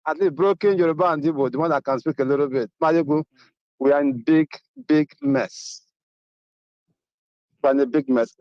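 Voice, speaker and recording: A man's voice: a little high, big pitch swings, normal speed, creaky, long pauses, no echo. A middle-aged Nigerian man who phones in from abroad. He speaks emphatically and keeps repeating his main points. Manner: emphatic, repeats key phrases. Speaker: caller. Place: Atlanta, abroad. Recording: dull muffled phone line.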